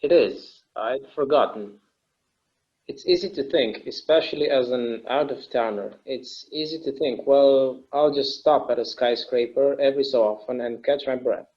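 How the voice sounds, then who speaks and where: A man's voice: medium pitch, normal speed, natural voice. A man acts out an easygoing, inventive husband in a stage play read. His mid-range voice is conversational and musing. The recording is a little dull and lo-fi. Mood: musing, eager. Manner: casual, musing, agreeable. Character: easygoing, imaginative husband who enjoys inventing games.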